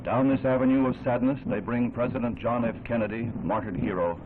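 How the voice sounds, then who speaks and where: An American man narrating a 1960s newsreel in a solemn, measured, oratorical and dramatic style. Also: mid-range voice, steady tone, background noise. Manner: grave, measured, oratorical delivery. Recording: tinny 1960s newsreel soundtrack, band-limited. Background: music.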